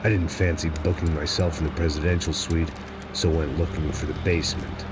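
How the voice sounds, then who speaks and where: An actor playing a bitter, middle-aged ex-cop in a video game, talking in a gruff, weary way. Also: male voice, very deep voice, level tone, fairly quick, heavy background noise. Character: weary hard-drinking ex-cop bodyguard, middle-aged man. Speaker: game protagonist.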